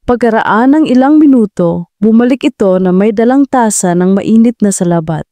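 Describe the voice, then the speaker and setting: A woman narrating a scripted romantic audio drama with a steady storytelling delivery. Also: fairly high pitch, normal speed, dry recording.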